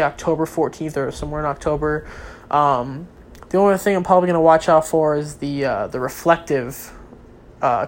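Young man, stuffy cold voice. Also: fairly high pitch. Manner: rambling, many ums and restarts. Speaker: vlogger. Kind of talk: casual solo talk on football and sneakers. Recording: faint background noise.

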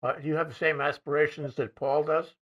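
A man interviewing soldiers, asking questions in a halting way with frequent restarts and throat-clearing. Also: a little high, little pitch movement, fairly bright tone, highs cut off.

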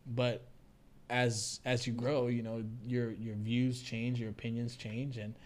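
A man's voice: medium pitch, level tone, measured pace, darkish tone. A man co-hosting a casual podcast chat, explaining his views at length with restarts and the odd laugh. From United States. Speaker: podcast co-host.

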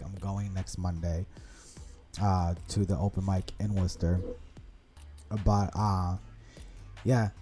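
A man in his thirties with an American accent, telling stories as a podcast co-host in casual chat, with many restarts. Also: fairly low pitch. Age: 30s. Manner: rambling, animated storytelling with many restarts.

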